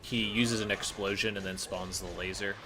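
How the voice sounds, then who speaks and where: A man's voice: on the low side, steady tone, moderate pace, background noise. A man giving explanatory gameplay commentary as a speedrunner, matter-of-fact and instructive. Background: faint game music.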